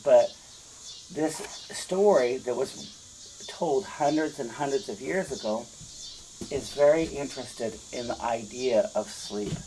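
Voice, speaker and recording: A man with a husky voice, speaking as a teacher in an emphatic, deliberate storytelling style, checking in with listeners. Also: medium pitch, background noise. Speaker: teacher reading and explaining a fairytale. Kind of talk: storytelling read-aloud with explanatory asides.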